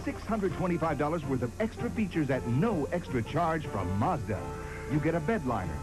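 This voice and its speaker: A man's voice: a little high, very animated, moderate pace, music in the background, poor audio. A man reading a 1980s radio commercial in a salesmanlike manner. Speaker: commercial announcer.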